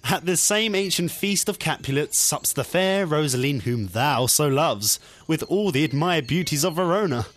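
A man's voice: a little high, lively intonation, moderate pace, dry recording, close to an everyday voice. A man playing an earnest, coaxing young nobleman in a student Shakespeare audio play. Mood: persuasive. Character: Benvolio, concerned, coaxing young nobleman and cousin.

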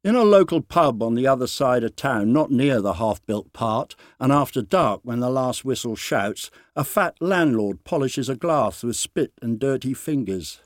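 A man narrates a short story in a medium-pitched voice. His delivery is dramatised, deliberate and expressive. The recording is close and dry, with no audible room. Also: no echo. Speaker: story reader.

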